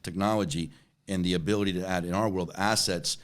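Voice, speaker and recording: A man's voice: sits low, steady tone, fairly quick, clear, resonant tone. A man in his forties answering interview questions conversationally, repeating words and often checking in with a "right?". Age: 40s. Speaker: interview guest, company chief executive.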